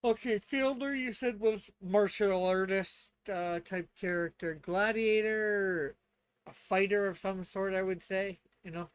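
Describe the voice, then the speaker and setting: A man's voice: sits high, measured pace, clean tone, no room sound. A man chatting casually and thinking aloud as a player learning a tabletop game.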